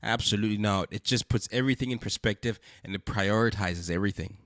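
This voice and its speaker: A man's voice: fairly low pitch, fast, fairly bright tone, no echo, clean studio sound. A man hosting a podcast with a congested, stuffy voice, speaking earnestly and repeating rhetorical questions. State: congested from a cold. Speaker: podcast host. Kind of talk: reflective spoken monologue.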